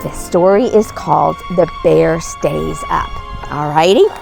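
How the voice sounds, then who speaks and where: A woman telling stories to young children in an expressive, coaxing, exclaiming read-aloud manner. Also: deep voice, unhurried, vocal fry, soft background music, low-quality recording. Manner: animated, exclaiming, coaxing, dramatic gasps. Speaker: costumed storyteller as Mrs. Claus.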